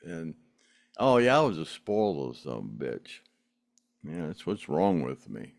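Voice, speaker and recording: A man's voice: low voice, sing-song, normal speed, gravelly, darkish tone, no room sound, studio quality. An older man, chatting casually as a podcast host reading out viewer comments, rambling with frequent laughs and restarts. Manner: rambling, laughs often, many fillers and restarts. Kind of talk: casual chat.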